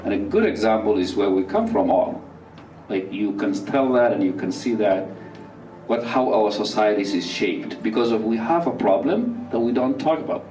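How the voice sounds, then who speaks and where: A man from Somalia giving a public talk in a slow, halting way, with many fillers and restarts. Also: fairly low pitch, animated, a little mellow, distant mic. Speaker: speaker at a community talk. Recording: roomy, off-mic, faint music behind.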